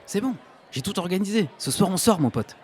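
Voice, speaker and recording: A man's voice: sits high, lively intonation, brisk, darkish tone. A man playing a cocky, reckless young schemer in a French audio drama, breezy, slangy and persuasive. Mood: eager, cocky.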